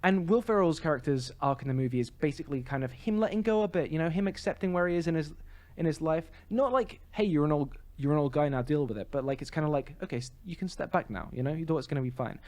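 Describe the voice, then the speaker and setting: A man co-hosting a podcast, chatting casually about film in a rambling, halting way full of self-corrections. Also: on the high side, darkish tone. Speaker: podcast co-host. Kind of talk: casual film review chat.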